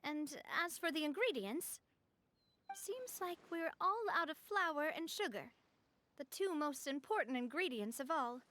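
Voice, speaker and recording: An actress playing a cheerful young female gang leader in a game, gracious and lively. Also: high voice, measured pace, a little hiss or hum, full character voice. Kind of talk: game voice acting.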